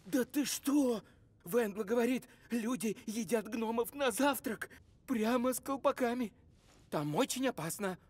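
Naive, animated little gnome boy. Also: fairly high pitch, measured pace, slightly rough, no echo. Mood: worried. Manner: childlike, wide-eyed, wondering. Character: naive, curious little boy gnome, eager and sweet-toothed. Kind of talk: animated film dubbing.

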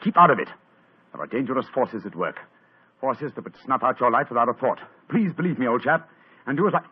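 Urgent cultured detective, clipped british delivery, husky, lively, muffled old radio. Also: male voice, medium pitch, fast talker. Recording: muffled 1940s radio recording.

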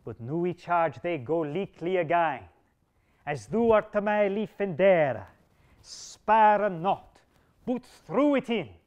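A grave, wounded old king voiced by a male reader delivers his commands in slow, solemn, archaic language. The voice sits a little high and grows forceful when he gives orders. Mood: commanding. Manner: solemn, archaic speech, sharpening to stern commands. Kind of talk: reading a medieval tale aloud.

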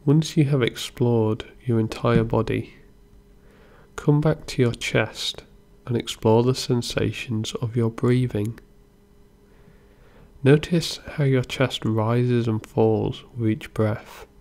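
Male meditation guide: slow, gentle delivery with a gravelly fry and room left between phrases. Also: medium pitch, fairly even intonation. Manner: unhurried, gentle instruction with pauses. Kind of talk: guided body scan meditation narration.

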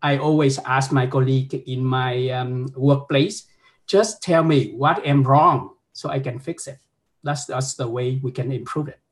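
A man's voice: medium pitch, fairly even intonation, a little slow. Frank Vietnamese-accented man on a video call. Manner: frank, chuckling, tag questions like "huh?". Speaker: guest instructor. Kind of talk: casual video call chat. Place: small home office indoors. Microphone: laptop webcam mic on video call.

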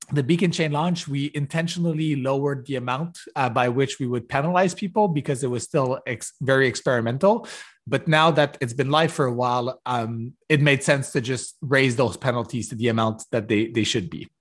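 A man giving a technical keynote over a video call, halting with many fillers and restarts. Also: mid-range voice. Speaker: conference keynote speaker. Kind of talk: technical conference talk. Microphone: video call webcam.

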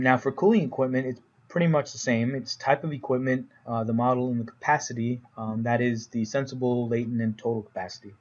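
An American man narrates a training presentation as an instructor. His voice sits at a middle pitch. He explains each point in plain, informal wording, as in a webinar voice-over. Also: darkish tone. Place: voice-over recorded for a slide presentation.

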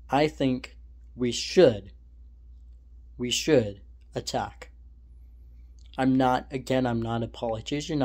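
A man's voice: medium pitch, darkish tone. A young man from California giving his opinions in a casual, rambling monologue, often stopping to correct himself, filmed on a phone held at arm's length in a small room at home. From California, USA. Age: young adult. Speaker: vlogger. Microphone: phone held at arm's length, selfie framing.

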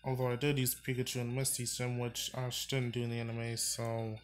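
A man's voice: mid-range voice, monotone, a little fast, slight background noise. A man giving casual let's-play commentary, thinking aloud, muttering and sighing as he plays. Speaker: gaming channel host. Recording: faint game music behind.

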